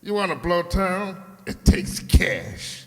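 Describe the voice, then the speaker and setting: An actor playing a hot-tempered small-time crook with gruff, slangy, bullying talk in an old-time detective radio play. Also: male voice, high voice, sing-song, slow pace, heavily acted. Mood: dismissive, scheming. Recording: echoey hall with long reverb.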